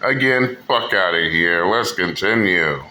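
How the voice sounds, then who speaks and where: Slow blunt-talking man in an echoey room. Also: deep voice, lively intonation. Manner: blunt, profane, mocking. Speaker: commentary channel host. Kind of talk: casual commentary reacting to clips. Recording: echoey room with faint noise.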